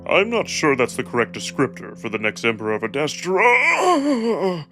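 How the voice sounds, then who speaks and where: A man's voice: a little high, very animated, normal speed, over music, pitched above the performer's own voice. A man voicing a devoted, playful alien wolf with snark, speaking tenderly as a visual novel character.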